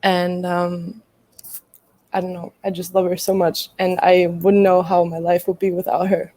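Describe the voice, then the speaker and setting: A woman's voice: fairly low pitch, level tone, unhurried, dark tone, no echo. A young woman from Greece, a college volleyball player answering interview questions casually, hesitant with many ums and frequent laughs. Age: young adult.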